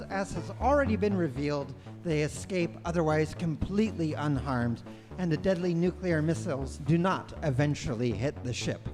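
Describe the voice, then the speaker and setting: A man playing the droll, unflappable narrator of a live comic space radio play, measured and matter-of-fact. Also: a little high, natural voice. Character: droll, unflappable omniscient storyteller of a space comedy. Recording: live hall with music behind.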